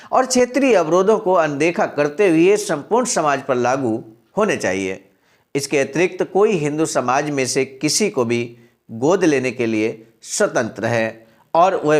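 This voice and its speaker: A man presenting a channel's daily message, reading out and explaining a historical text and addressing his listeners as honored friends. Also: a little high. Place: center in Sarnath, Varanasi.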